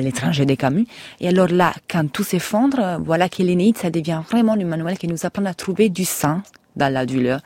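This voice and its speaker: Italian woman w/ accent, author talking slow n thoughtful, keeps restarting her sentences, dry close sound. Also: low voice, darkish tone, no echo. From Italy. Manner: reflective, searches for words with restarts. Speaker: author guest. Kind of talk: radio interview answers.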